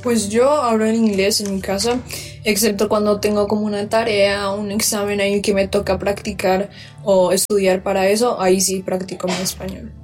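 A woman's voice: mid-range voice, flat delivery, normal speed, darkish tone. A teenage girl giving brief, tentative interview answers. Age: teens. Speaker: student being interviewed. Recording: distant mic with faint music.

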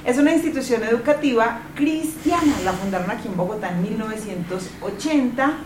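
A woman's voice: fairly high pitch, expressive, brisk, echoey room, background noise. A woman hosting a radio talk show, upbeat and welcoming as she interviews guests. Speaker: radio host. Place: radio studio.

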